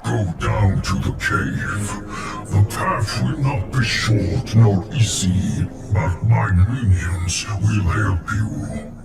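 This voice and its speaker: A man's voice: on the low side, creaky, reverberant, distant mic. A man voicing an ancient, arrogant male dragon, grandiose and commanding, in a fantasy game cutscene. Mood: ominous, commanding. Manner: grandiose, slow and ominous. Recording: loud music over the voice.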